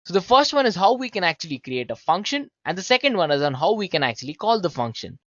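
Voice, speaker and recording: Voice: mid-range voice, big pitch swings, fast, fairly bright tone, dry recording, dull audio. A programming instructor explaining code step by step in a conversational tutorial style, addressing learners casually and checking in with tag questions.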